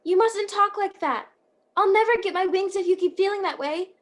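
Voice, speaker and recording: A woman's voice: extremely high pitch, moderate pace. A woman playing a kindly, chatty, bumbling apprentice guardian angel in a radio play. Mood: gently persuasive. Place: at home on a video call.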